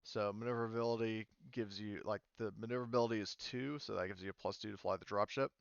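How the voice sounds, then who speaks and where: Gravelly-voiced man running a tabletop game. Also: lowish voice, steady tone, fast, dry recording, highs cut off. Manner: explains rules at length, thinks aloud, many fillers. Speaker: game master.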